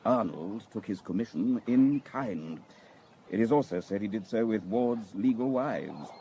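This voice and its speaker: Radio drama role: knowing, cordial male inspector, dark mellow tone, faint noise behind. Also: on the low side, lively intonation, a little slow. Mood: knowing, discreet. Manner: courteous, hesitant, knowing. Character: cordial, worldly male chief inspector sharing gossip discreetly. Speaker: police inspector.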